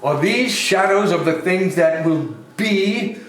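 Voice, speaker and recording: A man playing a remorseful old miser in a theatrical reading, halting, pleading and exclamatory. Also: high voice, fairly bright tone. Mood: pleading, dread. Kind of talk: theatrical dramatic stage reading. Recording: roomy, echoing, music underneath.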